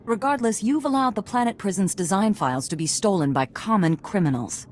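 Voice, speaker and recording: A woman's voice: mid-range voice, lively intonation, a little fast, darkish tone, close to an everyday voice. A woman playing a stern, authoritative master of a warrior order in a video game. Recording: faint background noise.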